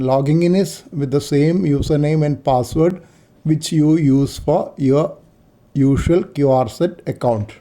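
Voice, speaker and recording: Male tutorial narrator, slightly high pitch, soft mellow tone, halting unscripted delivery in short explanatory phrases. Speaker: amateur radio operator giving a software demo.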